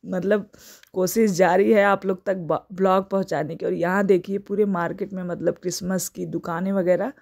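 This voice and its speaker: A woman's voice: fairly low pitch, fairly even intonation, moderate pace, vocal fry, darkish tone. A woman in her thirties from India, chatting casually through a family vlog, her throat sore. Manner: chatty, homely, laughs often. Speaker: family vlogger. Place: home and market.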